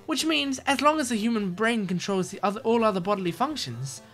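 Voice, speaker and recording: A woman's voice: a little high, lively intonation, fairly quick, faint music behind, pitched above the performer's own voice, lightly acted. A woman voicing a refined young princess in a video game, speaking formally. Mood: explaining.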